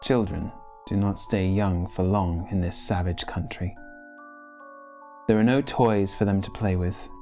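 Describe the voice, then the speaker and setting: A man reading a literary story as dramatic narration, in measured, deliberate phrases with pauses. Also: lowish voice, darkish tone. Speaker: story reader.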